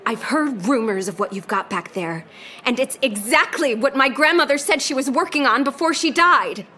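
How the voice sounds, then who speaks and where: Angry, high, sharp-voiced accuser. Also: female voice, expressive, moderate pace. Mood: angry, accusing. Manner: accusing, indignant. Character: angry, slight woman demanding justice for her grandmother. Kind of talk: scripted audio drama acting.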